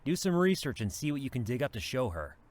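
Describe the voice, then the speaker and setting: A man's voice: mid-range voice, big pitch swings, quick pace, lightly acted. A man acting in an audio drama as a courteous, earnest young police officer who speaks politely and reassuringly. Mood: helpful, reassuring.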